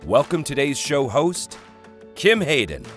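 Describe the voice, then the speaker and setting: A man's voice: mid-range voice, big pitch swings, unhurried, fairly bright tone. A man reading a scripted podcast announcement as an announcer. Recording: dry studio voice-over. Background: music.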